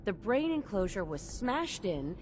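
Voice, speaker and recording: A woman's voice: mid-range voice, big pitch swings, measured pace, darkish tone, slight background noise. An actress playing a self-assured, direct female detective in a video game. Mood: probing.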